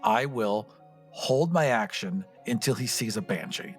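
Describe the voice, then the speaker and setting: A man's voice: on the low side, expressive, a little slow, faint music behind. A man playing a tabletop game, chatting casually in a jokey, self-deprecating and profane way.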